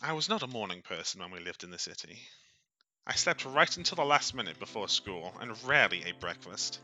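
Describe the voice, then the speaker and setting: A man reading a visual novel aloud on a stream as dramatic, expressive narration, in a theatrical storytelling way. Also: lowish voice, fairly quick, faint music behind.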